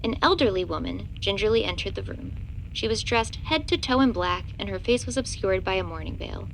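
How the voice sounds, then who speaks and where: A woman's voice: mid-range voice, lively intonation, a little fast, gravelly, slight background noise, low-quality recording. A woman narrating a scripted audio drama in the third person.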